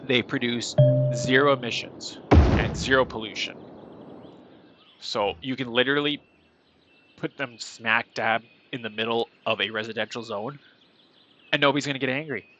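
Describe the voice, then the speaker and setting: A man's voice: medium pitch, fairly even intonation, moderate pace, pauses often, a bit bright, a little hiss or hum. A man with a head cold and a nasal voice, chatting casually and rambling while he plays a city-building game on stream. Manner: rambling, chatty, self-deprecating. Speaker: gaming streamer. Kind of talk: casual gameplay commentary.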